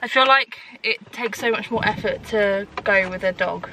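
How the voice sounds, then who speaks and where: A young woman in her twenties, husky from feeling unwell, chatting casually to a handheld camera with lots of fillers. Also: medium pitch, normal speed, very noisy. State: unwell, voice going. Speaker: vlogger. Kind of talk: casual vlog chat to camera. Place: bedroom indoors, then outdoors in countryside. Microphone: handheld camera at arm's length.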